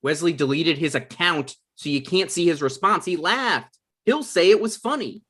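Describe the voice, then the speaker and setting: A man playing a deadpan, argumentative adult son and aspiring writer in a comedy script read. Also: a little high, normal speed, breathy edge, heavily acted. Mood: pleading, defensive.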